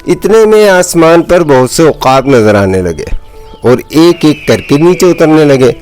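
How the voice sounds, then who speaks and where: A man's voice: a little high, expressive, unhurried, a little mellow, faint music behind. A man narrating a scripted children's story as a storyteller.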